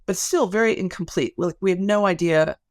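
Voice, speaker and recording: A woman's voice: fairly low pitch, brisk, dry recording, studio quality. A middle-aged woman speaking as an academic interview guest, explaining things in a thoughtful, careful way and often correcting herself. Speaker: podcast guest, academic researcher.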